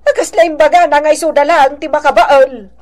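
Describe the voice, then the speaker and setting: A woman with a Filipino accent playing a nosy, sharp-tongued, resentful neighbour in a radio drama. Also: sits high, fairly even intonation, a little fast, close to an everyday voice. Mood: indignant.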